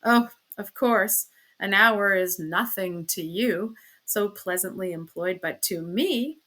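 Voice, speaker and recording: A woman's voice: medium pitch, takes it slowly, fairly bright tone. A woman voicing a self-pitying, dissolute husband in an audiobook, theatrically aggrieved, sarcastic and languid.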